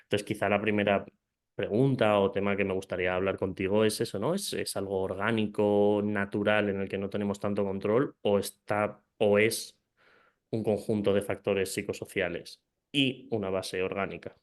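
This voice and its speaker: Lowish-voiced man hosting, hesitant questions. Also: level tone, normal speed. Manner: hesitant, searching for words with fillers. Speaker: interview host. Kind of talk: interview questions.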